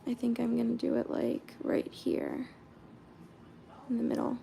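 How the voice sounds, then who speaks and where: A young American woman from Georgia chatting casually with viewers on a painting livestream, reading their comments aloud and murmuring softly while she paints. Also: on the high side, completely flat delivery, measured pace, gravelly, soft background music. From Georgia, USA.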